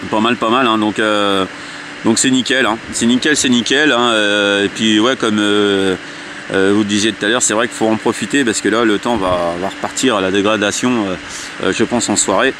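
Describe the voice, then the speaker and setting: A man with a fairly low voice talks casually, like a radio hobbyist out testing gear. He often doubles back on his own words. Clear outdoor noise runs behind him. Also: fairly even intonation, moderate pace. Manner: repeats himself. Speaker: amateur radio operator filming an antenna test. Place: outdoors at an elevated open spot. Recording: noisy outdoor ambience.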